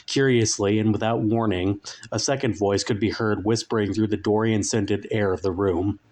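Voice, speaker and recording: A man narrating a comic story as a dramatic reading, with deliberate, mock-solemn phrasing. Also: fairly low pitch, steady tone, darkish tone.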